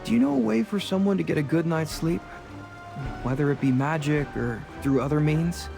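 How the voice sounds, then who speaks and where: A charming rogue of the seas in a fantasy game. His voice sits on the higher side for a man, and he chats in a breezy, self-assured, conversational way, with music underneath. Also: normal speed, gravelly. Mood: earnest, casual. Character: roguish, charming, chatty adult male sea adventurer.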